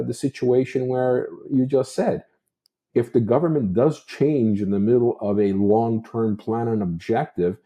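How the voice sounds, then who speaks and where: A man's voice: medium pitch, warm tone. A Canadian man giving unscripted political commentary as a talk show host, discursive and repeating himself for emphasis.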